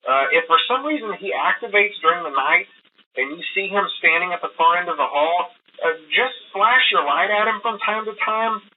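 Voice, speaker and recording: Game phone guide, male: narrow tinny telephone sound, higher-pitched voice, breezy and hesitant, rambling reassurances from a nervous employee. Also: expressive, moderate pace. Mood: hesitant, cautioning. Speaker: phone guide to a new night guard. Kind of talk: casual recorded phone briefing.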